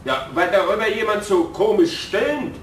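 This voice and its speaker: An actor playing a nervous, excitable man with a Bavarian accent in a radio play, heard in an echoey space. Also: normal speed. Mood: concerned, excited. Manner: anxious, excitable. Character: nervous, concerned, excitable male friend, adult. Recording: echoey, distant, faint rumbling noise.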